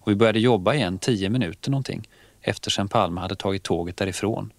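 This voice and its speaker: A man's voice: on the low side, no echo. A man reading out a police officer's first-person account in a conversational way for a radio programme. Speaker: reader of a police officer's testimony. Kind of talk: read-out first-person testimony in conversational manner.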